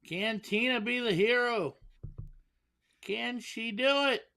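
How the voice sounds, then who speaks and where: A man's voice: very high-pitched, slow, pushed and bright. A man commentating live darts, joking and self-deprecating as he calls the throws. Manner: jokey, self-deprecating banter.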